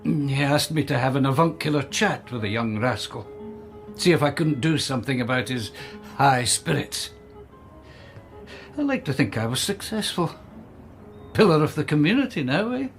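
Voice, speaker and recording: Wry old Scottish gentleman, played by an actor, lively. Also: mid-range voice, normal speed, pauses often, close to an everyday voice. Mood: sly recollection. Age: older. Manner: wry, teasing, reminiscing with chuckles. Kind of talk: radio drama acting. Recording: music behind.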